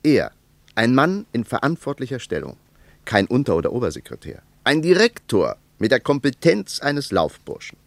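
An actor playing a troubled, reflective West German traveller in a radio play, with an emphatic, rhetorical way of talking. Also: male voice, medium pitch, huge pitch swings, moderate pace, creaky, dry recording. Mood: troubled, indignant.

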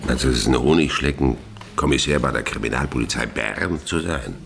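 Radio play casting: gruff older police inspector, very deep, creaky, world-weary, drawn-out laconic lines. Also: male voice, background noise. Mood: weary, grumbling. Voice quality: gravelly. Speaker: radio drama actor. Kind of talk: German radio crime drama dialogue.

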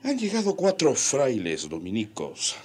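A man's voice: mid-range voice, huge pitch swings, measured pace, darkish tone, husky, exaggerated voice. An actor playing a brash, scheming Spanish colonial captain in a radio drama, blustering and needling as he talks. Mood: scornful warning.

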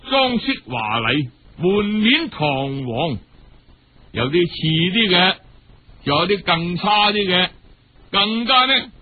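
A man telling a traditional story in a colloquial, dramatic, unhurried way. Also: high voice, slightly rough. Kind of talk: traditional Cantonese storytelling narration. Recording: muffled, phone-like old radio recording.

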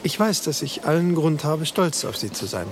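An actor playing an earnest, troubled young lawyer in a radio play. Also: male voice, fairly high pitch, sing-song, moderate pace, a little hiss or hum. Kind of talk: German radio play acting.

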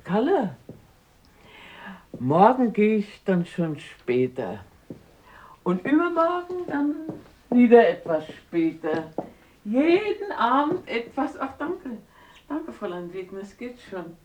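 Grandmother: old, tender, husky, with a lively rise and fall, radio drama. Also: fairly high pitch, takes it slowly, long pauses, exaggerated voice. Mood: pleased, tired. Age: older. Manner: gentle, sighing, chuckling delivery. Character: warm, frail old grandmother raising her grandson. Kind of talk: German radio play acting.

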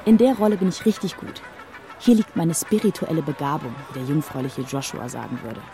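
A woman's voice: sits low, expressive, a little fast. Teenage girl, sardonic, self-mocking confession, audiobook drama, music behind. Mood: bitter, sardonic. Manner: sardonic, confiding. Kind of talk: first-person audiobook drama monologue.

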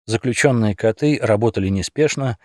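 A man narrating a satirical fairy tale as an expressive audiobook storyteller. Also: on the low side, a little fast, no room sound, studio quality.

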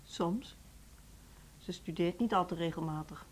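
A woman's voice: lowish voice, measured pace. An actress playing a dry, matter-of-fact, decisive woman who runs a music school, in a Dutch radio drama.

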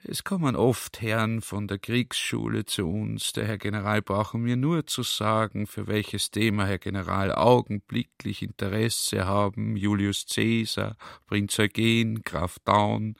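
Radio play: male actor as old library servant, soft, lowish, deferential and formal, dry studio sound. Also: little pitch movement, a little slow, gravelly, no echo, exaggerated voice. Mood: servile, helpful. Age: older. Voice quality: mild, soft. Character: humble, obsequious old male library attendant. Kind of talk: radio play, quoted dialogue.

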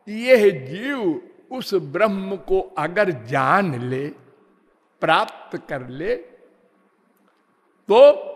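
A man giving a slow, measured spiritual discourse, drawing out his words and half-singing some phrases. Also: high-pitched, fairly bright tone. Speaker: spiritual teacher. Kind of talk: devotional discourse. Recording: long hall reverb.

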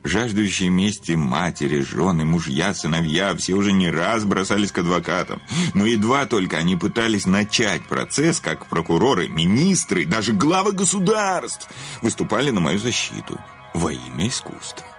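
Deep-voiced, cynical, grandiloquent famous writer. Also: male voice, sing-song, moderate pace, faint music behind. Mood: sardonic, boastful. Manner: grandiloquent, theatrical, sardonic. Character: cynical, vain famous male writer, menacing confessed killer. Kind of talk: radio play acting.

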